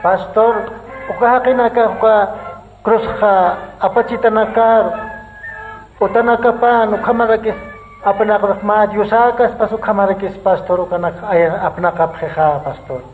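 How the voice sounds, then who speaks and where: An actor playing a humble, deferential churchgoer in a radio drama. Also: male voice, high-pitched, steady tone, unhurried. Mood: respectful, questioning. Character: humble male churchgoer talking respectfully with his pastor. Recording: tinny band-limited radio drama, faint music.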